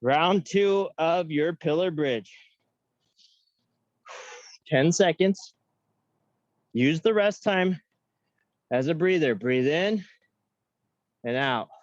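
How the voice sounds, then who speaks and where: A young man coaching a workout class, counting reps and giving upbeat cues with joking asides in a high-pitched voice. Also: lively intonation, very slow, pauses often, dry recording, lo-fi sound. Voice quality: self-described high-pitched voice. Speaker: fitness coach. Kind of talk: guiding an online workout class.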